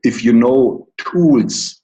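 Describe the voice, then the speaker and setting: A middle-aged man from Germany with a German accent, a coach giving a slow, deliberate, reflective talk with pauses. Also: medium pitch, mellow, low-quality recording. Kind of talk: lecture-style talk.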